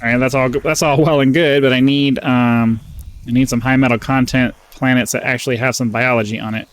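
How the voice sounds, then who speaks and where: A man's voice: medium pitch, fairly even intonation, brisk. A man with a somewhat nasal voice, casually rambling through gameplay commentary and getting sidetracked, full of fillers. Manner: rambling, easily distracted, frequent fillers. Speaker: gaming channel host.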